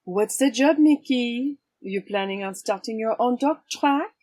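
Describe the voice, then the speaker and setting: A woman's voice: on the high side, a little slow, darkish tone, no room sound. A woman playing a cool, wry swashbuckling vigilante with a sly, teasing, theatrical manner in an audio drama. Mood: cool, menacing.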